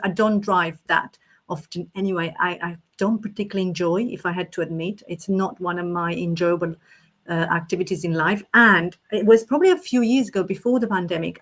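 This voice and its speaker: A woman from Romania talking through ideas in a podcast conversation, thoughtful and often rephrasing as she goes. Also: medium pitch. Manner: thoughtful, with frequent restarts and rephrasing. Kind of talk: reflective conversational chat.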